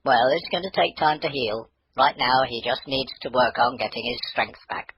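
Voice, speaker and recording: A man's voice: medium pitch, normal speed, a bit bright, husky, low-quality recording. A man voicing a kind, reassuring father of a little girl in a children's storybook.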